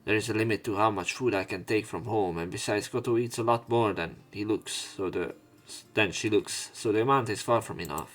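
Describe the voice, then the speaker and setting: A man's voice: lowish voice, brisk, few pauses, a bit bright. A man reading visual novel narration aloud as a let's player, with casual commentary. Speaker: let's play streamer.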